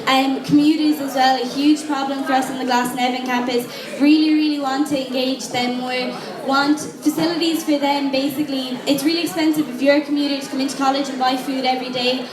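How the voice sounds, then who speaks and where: A woman's voice: high-pitched, level tone. A young Irish woman giving a frank, casual campaign speech to a room of students. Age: young adult. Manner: casual, frank, quick with asides. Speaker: student election candidate. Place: lecture room with an audience. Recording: echoey room with crowd noise.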